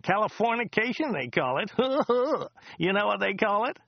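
A man voicing a chatty, excitable driver who rambles, repeats himself and laughs at his own jokes. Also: moderate pace, creaky, dry recording, dull audio, exaggerated voice. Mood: rambling chatter. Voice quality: high, loud and excitable. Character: chatty loud male American taxi driver. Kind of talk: audiobook character voice.

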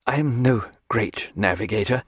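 A man's voice: medium pitch, moderate pace, breathy edge, dry recording, studio quality, close to an everyday voice. A man voicing a learned, unworldly ship's doctor in an audiobook, wry and formal with ornate old-fashioned phrasing. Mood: wry, puzzled.

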